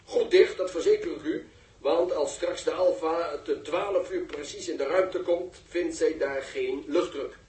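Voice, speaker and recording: An actor playing a pompous, easily flustered live reporter in a 1960s radio drama, speaking in formal, florid phrases. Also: male voice, a little high, a little slow, a little mellow, natural voice. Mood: self-important. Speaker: television reporter. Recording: echoey, distant 1960s radio recording.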